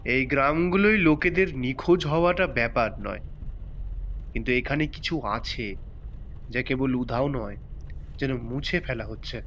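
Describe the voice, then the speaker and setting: A man playing a calm, experienced older police officer in an audio drama, measured and cool-headed, in a muffled recording. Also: slight background noise. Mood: ominous, calm. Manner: slow, deep, measured.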